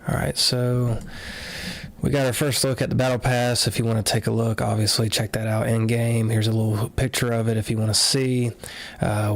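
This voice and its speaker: Nasal, low-voiced man chatting casually. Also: no room sound. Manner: relaxed, filler-heavy, offhand commentary. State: slightly nasal after a cold. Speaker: gaming content creator. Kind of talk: casual live walkthrough of patch notes.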